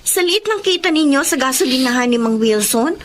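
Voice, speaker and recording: A high-voiced, inquisitive daughter. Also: female voice, lively intonation, fairly quick. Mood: curious. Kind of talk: Filipino radio drama acting. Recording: clearly noisy background.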